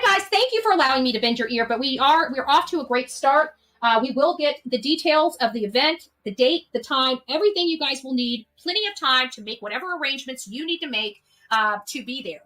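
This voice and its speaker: A woman with a fairly high voice speaks off the cuff as a livestream host. She is earnest and exhortatory, urging her followers on like an organizer and often tagging her points with a quick check for agreement. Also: fairly bright tone, poor audio. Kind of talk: unscripted livestream address to followers.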